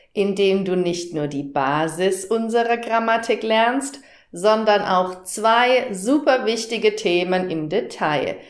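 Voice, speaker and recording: A woman in her thirties from southern Germany, a German teacher presenting a lesson to learners in a clear, didactic way with careful enunciation. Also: medium pitch. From southern Germany, near Stuttgart. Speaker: German teacher, workshop host. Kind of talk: instructional presentation to camera, addressing learners directly. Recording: roomy, echoing room sound.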